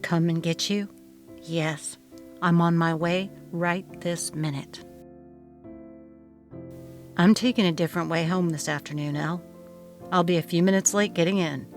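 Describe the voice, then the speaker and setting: A steady middle-aged dad voiced by a woman, calm and measured, from a text-message audio story. The voice sits fairly low over a music bed. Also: natural voice. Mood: gently secretive.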